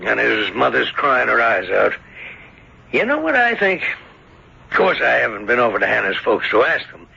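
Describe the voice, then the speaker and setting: Grumbling rustic farmer, gravelly, sharp-edged, husky, gossipy, muffled vintage radio play. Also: male voice, medium pitch, wildly swooping pitch, normal speed, full character voice. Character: folksy, grumbling male farmer, father of grown son. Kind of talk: old-time radio drama acting. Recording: dull, muffled old radio recording.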